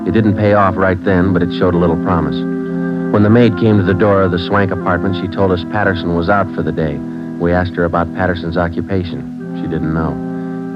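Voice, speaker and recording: A man playing a deadpan, low-voiced radio police sergeant. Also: lightly acted. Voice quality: clipped, deadpan. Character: terse police sergeant narrating case, flat and steady. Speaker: detective narrator. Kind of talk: 1940s radio drama acting. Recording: dull old radio broadcast.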